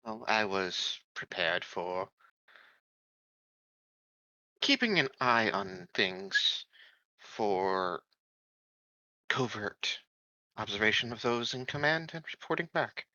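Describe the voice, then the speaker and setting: A man plays an earnest, secretive non-human security officer in a tabletop game. The voice is bright and swings widely in pitch, with polite, hesitant phrasing. The recording is dry and a little dull. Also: lively intonation, takes it slowly, no room sound, heavily acted. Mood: confiding.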